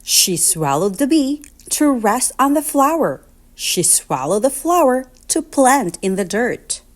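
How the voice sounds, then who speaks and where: Female storybook reader for young children: higher-pitched, unhurried, very lively sing-song delivery. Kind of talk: read-aloud picture book narration.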